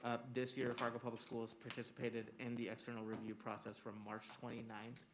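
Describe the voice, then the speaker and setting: A man who is a school district administrator, giving a formal report to a school board in an earnest, steady way with many filler words. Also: medium pitch, brisk, background noise. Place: public school board meeting room.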